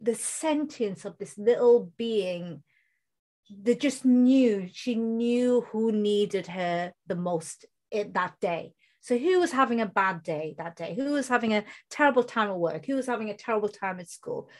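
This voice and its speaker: A woman in her forties from the UK with a British accent, a podcast guest speaking casually and earnestly, slowing down to stress her points. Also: medium pitch, expressive, darkish tone. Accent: British English. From United Kingdom. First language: Bengali. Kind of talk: casual interview conversation.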